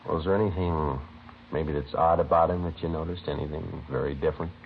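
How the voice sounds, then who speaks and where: Low, deadpan police sergeant. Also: male voice, brisk, slight background noise. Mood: calm, probing. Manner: deadpan, clipped. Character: terse, methodical male police sergeant, calm and businesslike. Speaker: actor playing the narrator and lead detective. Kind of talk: 1950s radio police drama, clipped matter-of-fact narration. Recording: muffled old radio recording, music behind.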